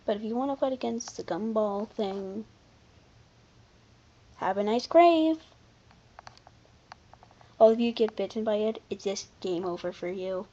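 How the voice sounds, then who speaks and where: A commentator giving casual, unscripted video commentary in an informal, halting way, often backtracking. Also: medium pitch, animated, mellow, lo-fi sound. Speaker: video creator presenting a ranking.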